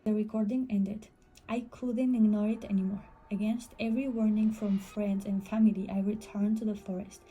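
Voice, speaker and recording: Dark-voiced woman narrating horror. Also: mid-range voice, flat delivery, moderate pace, slightly rough, faint music behind, rough recording. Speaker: storyteller. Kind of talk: scripted horror story narration.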